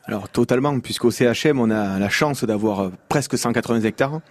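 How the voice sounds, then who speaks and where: Mellow-voiced man explaining calmly. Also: medium pitch, no room sound. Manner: measured, explanatory, steady. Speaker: director of a naturist holiday centre, studio guest. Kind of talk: radio interview answers. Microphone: studio microphone.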